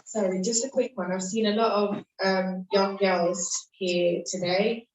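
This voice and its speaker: A woman from the audience politely making a quick remark aimed at young attendees. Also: medium pitch, little pitch movement. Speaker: audience member. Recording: roomy sound from far off with faint noise.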